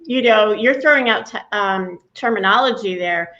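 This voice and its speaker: A woman hosting a video call interview, giving quick agreement as she listens and then framing questions. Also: mid-range voice, sharp tone, highs cut off. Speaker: interview host. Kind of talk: casual interview chat.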